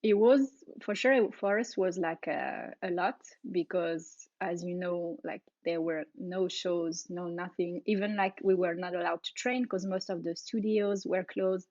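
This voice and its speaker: A woman's voice: lowish voice, darkish tone, no echo. French-accented woman, muffled dry sound. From France. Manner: laughs often, halting with fillers. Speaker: guest, aerialist. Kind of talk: casual interview.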